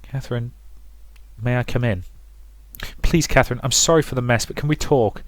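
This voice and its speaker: A man's voice: medium pitch, normal speed, natural voice. A man voicing an anxious, caring young woman who pleads urgently with her friend in a visual novel. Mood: worried.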